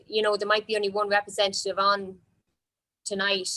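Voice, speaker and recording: A woman's voice: medium pitch, completely flat delivery, brisk, pushed and bright, rough recording. An Irish woman organiser answering questions informally, explaining things in a hesitant way.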